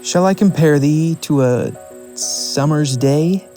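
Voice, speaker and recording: A man's voice: on the high side, slow pace, dark tone, faint music behind. A young man reciting a Shakespeare sonnet as a performed monologue. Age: young adult. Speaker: student drama performer.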